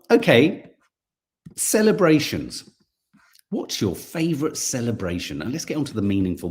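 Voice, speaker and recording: A man's voice: on the high side, darkish tone. A man hosting a casual live stream, chatting and reading viewer comments aloud, sometimes tripping over his words. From English Midlands.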